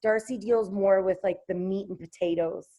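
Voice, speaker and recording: A woman with a low contralto voice, a singer-songwriter talking casually in an interview, often pausing with fillers and restarting her sentences. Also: steady tone, warm tone, muffled recording. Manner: hesitant, with many fillers and restarts.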